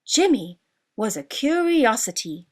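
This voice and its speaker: High-pitched, animated woman storyteller. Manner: expressive, deliberate storybook delivery with drawn-out words. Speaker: author narrating own story. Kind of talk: children's storytelling, scripted narration.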